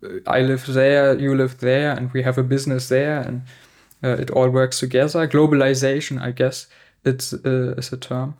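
Male podcast host from Germany; flat, even delivery, mid pitch, searching questions. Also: moderate pace. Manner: monotone, searching questions with fillers. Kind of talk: casual interview chat.

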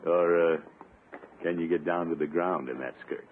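An actor playing a pompous, excitable middle-aged uncle and former college athlete in a 1950s radio comedy, blustering and chuckling. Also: male voice, sits low, moderate pace, close to an everyday voice. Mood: jovial, flirtatious. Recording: tinny, noisy 1950s radio recording.

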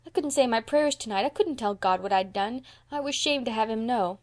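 A woman's voice: on the high side, animated, a little fast, pitched above the performer's own voice, natural voice. A woman voicing a small mischievous boy in an audiobook, earnest, guilty and blunt, with childish, emphatic grammar slips. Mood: miserable, pleading. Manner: childish ungrammatical speech, emphatic. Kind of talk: audiobook character voice.

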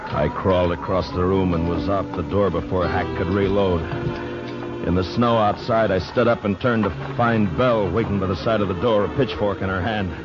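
A tough, weary Old West lawman, played by a man, with a deep voice. He is grave and deliberate, in the manner of classic radio drama. The recording is muffled and has loud music behind it. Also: fairly even intonation, close to an everyday voice. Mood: grim, tense narration. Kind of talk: 1950s radio western acting with first-person narration.

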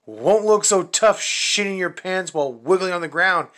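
An earnest young man who stands up for others, played by a man in an audio drama. His voice is fairly high and forward, with a bright edge and an assertive manner. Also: expressive, moderate pace. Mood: stern. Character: serious, protective, optimistic young pizza restaurant worker. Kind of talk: amateur audio drama acting.

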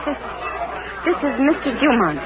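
An actress playing an anxious young woman in trouble in a 1940s radio drama, speaking urgently and haltingly. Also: on the high side, very animated. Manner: urgent, hesitant, faltering. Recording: tinny vintage radio recording, crowd behind.

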